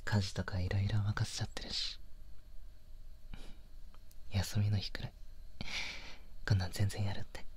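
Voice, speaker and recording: A man acting an affectionate, clingy young boyfriend in an intimate roleplay audio drama, speaking softly and breathily, often near a whisper. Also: fairly low pitch, little pitch movement, lightly acted. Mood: gentle, affectionate. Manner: slow, tender, coaxing. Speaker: online voice actor.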